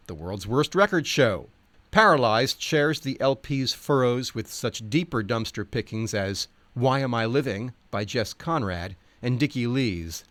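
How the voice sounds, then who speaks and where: A man's voice: mid-range voice, fairly bright tone, dry recording. Wry man reading scripted narration, dry close sound. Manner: wry, articulate, wordy. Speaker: narrator reading from a nonfiction book.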